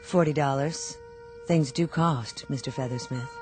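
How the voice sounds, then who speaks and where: Female devilish bargainer: low voice, sardonic, condescending, cool, radio play over faint music. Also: measured pace, darkish tone. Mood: sly, mocking. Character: cool, sly, mocking devilish woman striking bargains. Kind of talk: radio drama acting.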